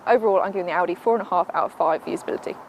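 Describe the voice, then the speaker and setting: A woman, a car reviewer giving a brisk, matter-of-fact verdict on an in-car system. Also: lowish voice, slight background noise, low-quality recording. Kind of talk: product review.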